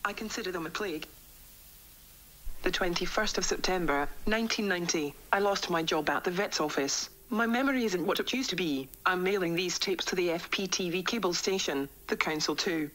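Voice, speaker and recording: A doomed woman doctor, played by an actress, records her grim diary for an analog horror tape. Her voice is on the low side, and she speaks in somber, measured, short statements. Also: a bit bright, rough recording. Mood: grim resignation.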